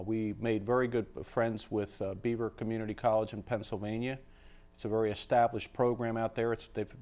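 A man in his fifties answering interview questions as a college dean, explaining in a halting, measured way in a studio. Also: medium pitch, a little mellow. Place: indoor TV studio. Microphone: lapel.

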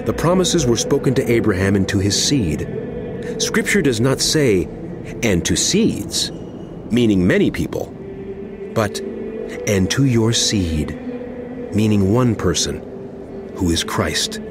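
An impassioned apostle preaches to his wayward flock in a dramatized scripture reading. His fairly low voice rises and falls widely, with deliberate, rhetorical emphasis. Music plays clearly behind him. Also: big pitch swings. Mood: earnest, instructive. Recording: music under the voice. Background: background music.

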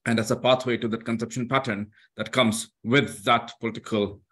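A man with a foreign accent making a halting academic comment in a discussion, with many fillers and restarts. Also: mid-range voice, fairly even intonation, fairly bright tone, husky. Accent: foreign-accented English.

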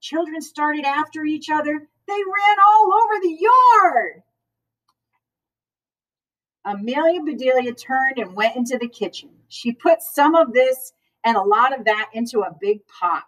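A middle-aged woman reading aloud at children's story time in an expressive, teacherly manner. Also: high voice, a little slow. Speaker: school librarian reading aloud. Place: school library, indoors. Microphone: far camera. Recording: distant camera microphone.

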